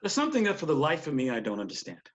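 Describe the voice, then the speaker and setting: A man's voice: fairly high pitch, wildly swooping pitch, racing, lo-fi sound. An actor playing a wry, emphatic male TV studio executive in a screenplay table read. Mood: exasperated, incredulous.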